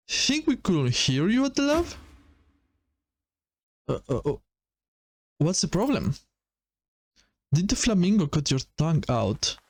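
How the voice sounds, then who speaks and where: A man's voice: a little high, full character voice. Man reading as a taunting, menacing hunter. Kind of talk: reading visual novel dialogue aloud.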